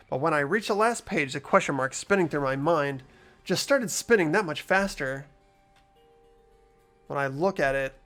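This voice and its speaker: A man's voice: fairly high pitch, fairly bright tone. A man voicing an earnest, anxious teenage boy investigator, narrating and questioning in a video game readthrough. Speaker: host of playthrough. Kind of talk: amateur game voice acting.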